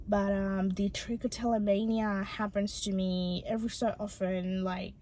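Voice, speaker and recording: A young woman from Bangladesh who stutters, talking personally to her viewers with many pauses and ums. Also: medium pitch, level tone, warm tone, a little hiss or hum. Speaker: channel host. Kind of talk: personal vlog talk to camera.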